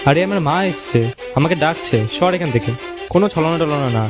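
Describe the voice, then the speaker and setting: A man's voice: on the high side, lively intonation, normal speed, over music, lightly acted. A man playing a curious, skeptical young visitor in a cartoon ghost story, questioning and doubtful in manner. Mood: insistent.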